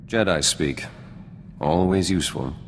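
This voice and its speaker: A man's voice: low voice, measured pace, slight background noise. An actor playing a hardened, terse rebel fighter in a video game, grim and suspicious, dropping small words as he talks. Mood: gruff bargaining. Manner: clipped speech that drops small words. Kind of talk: video game dialogue acting. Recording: long hall reverb, music behind.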